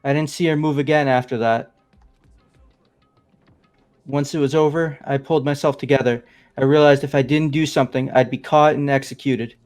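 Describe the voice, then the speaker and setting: A man's voice: medium pitch, steady tone, moderate pace, long pauses, darkish tone, soft background music, natural voice. A man voicing a nervous young man who rambles defensively through a confession, as fan voice acting of a game. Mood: anxious.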